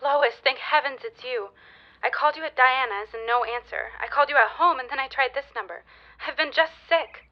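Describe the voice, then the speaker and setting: A woman's voice: sits high, brisk, highs cut off, natural voice. An actress playing an anxious, earnest schoolgirl who speaks formally and urgently in a period audio drama, heard as on a telephone.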